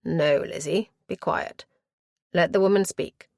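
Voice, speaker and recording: A woman voicing a composed young aristocratic man who speaks quietly and tersely. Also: lowish voice, unhurried, creaky, no echo, studio quality. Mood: restrained. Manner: quiet, clipped, restrained.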